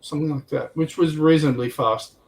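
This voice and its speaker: A man's voice: fairly high pitch, a little fast, far from the mic, a little hiss or hum. A man on a hobby livestream panel, commenting casually on a tabletop game and thinking aloud in a hesitant, rambling way.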